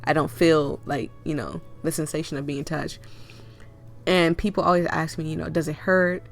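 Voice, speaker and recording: A woman with a cold and a hoarse, fading voice, reading a visual novel aloud with chatty asides. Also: sits low, fairly even intonation, moderate pace, faint music behind. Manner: chatty, laughs and coughs often, rambling asides. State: hoarse, losing her voice with a cold. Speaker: game reader and streamer.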